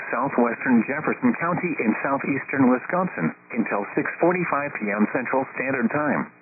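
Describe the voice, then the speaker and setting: A synthetic male announcer reading scripted weather warnings in a formal, measured way over the radio. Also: mid-range voice. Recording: tinny, noisy weather radio broadcast with hum.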